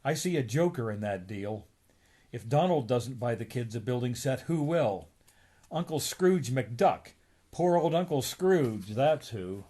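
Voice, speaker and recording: Man acting out old rich duck uncle, grumpy, stingy, blustering, gruff storybook voice. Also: mid-range voice, expressive, a little slow, warm tone. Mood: grumbling.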